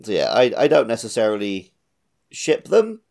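A man's voice: medium pitch, wildly swooping pitch, moderate pace, gravelly, darkish tone. A man co-hosting a casual video game podcast, sometimes repeating words as he thinks aloud. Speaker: podcast co-host.

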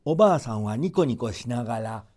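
Male storybook narrator: soft, mellow tone, expressive measured folktale delivery with playful emphasis. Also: mid-range voice.

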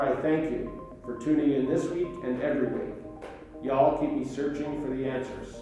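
A man's voice: fairly high pitch, close to an everyday voice. Man playing echoey, mysterious late-night radio host. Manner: grandiose, formal, addressing listeners directly. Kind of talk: theatrical radio show sign-off. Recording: echoey, distant, faint music behind.

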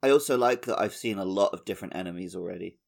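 A man's voice: deep voice, very fast, vocal fry. A man talking casually as a co-host on a gaming podcast.

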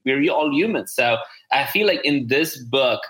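Here is a man hosting a business podcast in easy, enthusiastic chat, and he often doubles back on his words. His voice sits at a middle pitch with a bright, forward tone. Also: expressive, measured pace, low-quality recording. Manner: enthusiastic, repeats words, casual "dude". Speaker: podcast host.

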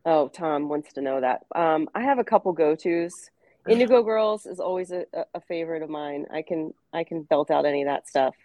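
A woman co-hosting a podcast in casual, chatty conversation, with a low voice and a self-deprecating manner. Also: brisk, dark tone, no room sound. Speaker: podcast co-host. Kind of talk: casual interview chat.

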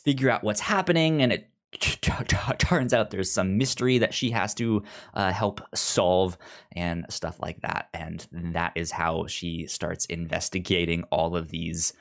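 Podcast host, mid-pitched, for relaxed review discussion; halting speech with repeated, stuttered word openings. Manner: halting, with frequent stuttered repeats of sounds and words.

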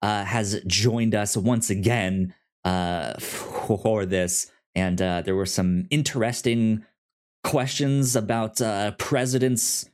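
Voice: mid-range voice, steady tone, slow pace, a little mellow. A podcast host chatting informally and giving announcements in a conversational way. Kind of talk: casual podcast chat with housekeeping announcements.

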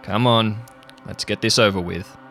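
A man's voice: fairly low pitch, steady tone, normal speed, gravelly, fairly bright tone. A man voicing a rambling, evasive chief park ranger in a game, hesitant and folksy, with music underneath. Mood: defiant.

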